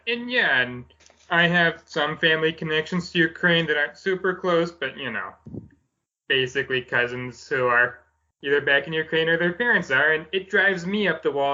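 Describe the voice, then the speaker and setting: A man co-hosting a podcast who talks in a lively, conversational and sympathetic way. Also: fairly high pitch, a little fast, dull audio.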